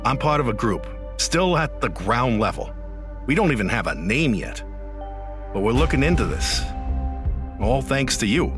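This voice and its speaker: Animated, urgent American guy, played by a man. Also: medium pitch. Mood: earnest, persuasive. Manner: emphatic, slangy, urgent. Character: excitable young male online sleuth recruiting a reluctant witness. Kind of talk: audio drama acting.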